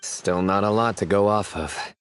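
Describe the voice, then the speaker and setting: A man voicing a laid-back young man fond of puns in a video game, dry, teasing and deadpan. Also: fairly low pitch, normal speed, natural voice. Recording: music playing behind the voice.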